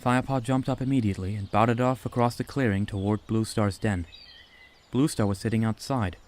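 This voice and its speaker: A man narrates a storybook with steady, even delivery. His voice sits fairly low for a man. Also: a little fast.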